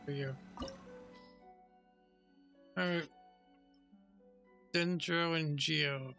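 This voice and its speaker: A man's voice: a little high, steady tone, very slow, gravelly, bright, forceful tone, soft background music. A man giving casual commentary as he plays a video game. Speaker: gamer playing and commenting.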